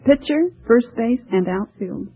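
A woman's voice: fairly high pitch, animated, unhurried, slight background noise. A woman in her twenties giving short answers to a quiz show host. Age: 20s. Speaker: quiz show contestant, softball player. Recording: narrow-band old radio, faint audience.

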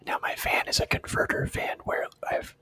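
A man whispering a rambling, profane camping story in a relaxed, self-amused way. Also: normal speed. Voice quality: breathy whisper.

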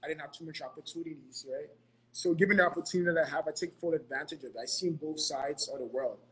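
A man's voice: a little high, fast talker, rough recording. A man from Ghana giving earnest, motivational money advice in a casual podcast, often repeating himself and checking in with "right?".

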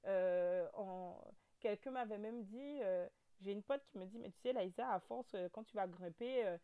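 A woman in her thirties with a Guadeloupean French accent, a guest telling her story in a casual interview, with lots of fillers, restarts and animated turns. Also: mid-range voice, moderate pace, clean tone, phone-quality sound. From French overseas territory. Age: 30s.

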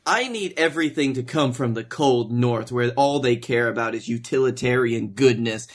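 A young man with an average-pitched voice chats on a comedy podcast. He tells everyday stories with wry mock-seriousness, swears freely and acts out his own thoughts. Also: moderate pace. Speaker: podcast co-host. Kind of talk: casual comedic storytelling chat.

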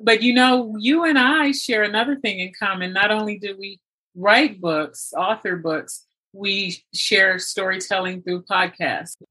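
A woman's voice: medium pitch, fairly even intonation, a little slow, sharp tone, husky, low-quality recording. A woman hosting a conversational interview in an enthusiastic, affirming manner. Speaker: podcast host and novelist.

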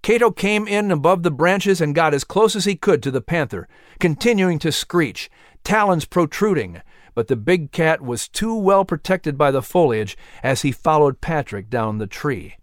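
A man reading a children's adventure story as narrator, expressive and suspenseful. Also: a little high, normal speed, no echo, studio quality. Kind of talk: dramatic scripted story narration.

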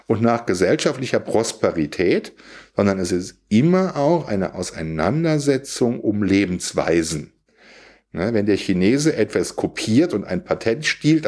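A man's voice: lowish voice, darkish tone. A man speaking in a reflective discussion, explaining things in an articulate, emphatic way.

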